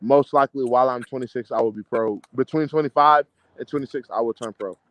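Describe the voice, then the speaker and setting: A man's voice: mid-range voice, fast talker, a bit bright, dry recording. A man in his mid-twenties with an American accent, an amateur boxer chatting casually in an interview in slangy, confident, repetitive phrases. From United States, DC-Maryland-Virginia area. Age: mid-20s. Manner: slangy, confident, emphatic, repeats himself.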